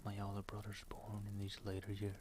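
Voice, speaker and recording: A man voicing a genial, commanding giant spirit in a hushed storybook reading. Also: lowish voice, completely flat delivery, brisk, background noise, natural voice. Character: hearty, genial giant spirit of Christmas present.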